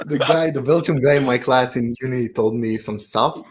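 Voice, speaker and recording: A man's voice: medium pitch, normal speed, noisy background. A man co-hosting a podcast in casual chat, blunt and teasing, swearing freely. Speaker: podcast co-host.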